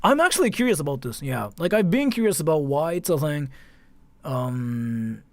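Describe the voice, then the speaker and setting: A man live streaming casual reaction commentary, talking in a halting, repetitive, informal way with some mild swearing. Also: a little high, big pitch swings, darkish tone, no room sound.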